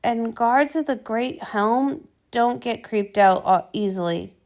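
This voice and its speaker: Woman doing a brash soldier pony guy, high voice, boasty and excitable, cartoon comic style. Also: takes it slowly. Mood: uneasy bravado.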